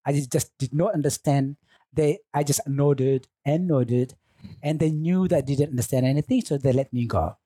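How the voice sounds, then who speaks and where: A middle-aged man from Indonesia, speaking English as a later language, answering interview questions as a teacher in a thoughtful, unhurried way. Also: medium pitch, warm tone, husky, no echo, studio quality. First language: Lamaholot. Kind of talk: relaxed radio interview. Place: studio.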